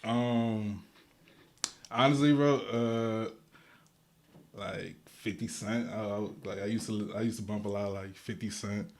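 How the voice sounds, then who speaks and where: A man's voice: lowish voice, steady tone, slow pace, a little mellow, slight background noise. A young man from Guatemala, talking casually as an interview guest, with loose slangy speech full of restarts and fillers. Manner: laid-back slangy talk, many restarts and fillers. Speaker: guest rapper.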